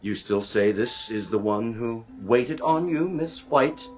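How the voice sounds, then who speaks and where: An actor playing a fussy, officious department store floor supervisor in a radio drama, with a pompous, clipped, theatrical delivery. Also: male voice, medium pitch, animated, takes it slowly, over loud music. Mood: smug, mocking.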